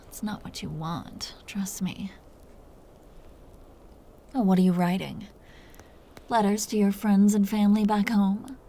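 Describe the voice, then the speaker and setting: A woman playing a teasing, seductive, dominant vampire captor in an intimate roleplay audio drama, talking in breathy half-whispers. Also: medium pitch, fairly even intonation, takes it slowly, pauses often, warm tone, slight background noise. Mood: mock-tender teasing.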